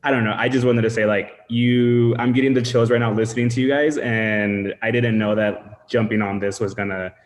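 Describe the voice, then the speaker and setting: A man taking part in an online meetup, speaking in excited, heartfelt bursts. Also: medium pitch, normal speed, hardly any pauses, big-room sound. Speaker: meetup participant. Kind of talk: casual group video chat.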